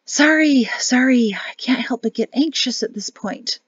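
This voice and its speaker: A woman with a rough, crackling voice voicing an anxious, exasperated wife who talks tense and impatient. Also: high voice, a little slow, no echo, dull audio, pitched above the performer's own voice.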